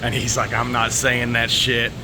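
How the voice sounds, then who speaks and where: An American man chatting casually and candidly to viewers, rambling and haltingly, with plenty of swearing. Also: medium pitch, completely flat delivery, noisy background. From United States. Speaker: vlogger.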